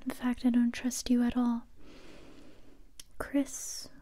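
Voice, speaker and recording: A young woman playing a tough, determined survivor interrogating a captive in a soft, breathy ASMR whisper, close to the microphone. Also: on the high side, completely flat delivery. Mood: hushed, stern. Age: young adult. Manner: slow, deliberate, repeating phrases. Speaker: ASMR roleplay performer.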